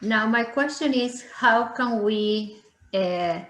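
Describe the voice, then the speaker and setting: A woman's voice: a little high, slow, a bit bright. Woman, earnest teacher, echoey room, video call. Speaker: teacher asking a question.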